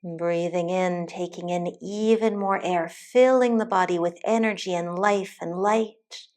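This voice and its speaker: A woman teacher leading a guided meditation in a calm, soothing, deliberate way with long pauses. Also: lowish voice. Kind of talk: guided meditation, soft instructional narration.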